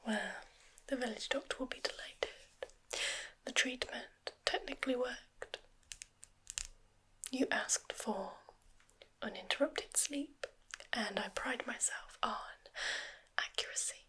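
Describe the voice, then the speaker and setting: A woman's voice: fairly high pitch, takes it slowly, long pauses, rough recording. Woman doing a whispering sly forest witch. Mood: teasing. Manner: soft whispering, personal attention, dry wit. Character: sly, matter-of-fact female forest witch healer. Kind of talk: ASMR roleplay. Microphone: close-up ASMR microphone.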